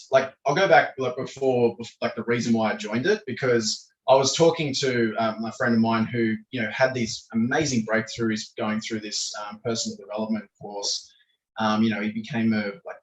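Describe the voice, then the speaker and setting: A man, his voice croaky as he keeps clearing his throat. He talks in loose, meandering answers full of fillers and restarts. He sits at some distance from the microphone, and the room around him rings. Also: medium pitch. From Australia. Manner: rambling, hesitant, many fillers and restarts. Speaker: podcast guest. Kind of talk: casual interview answers. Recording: echoey room, distant from the mic.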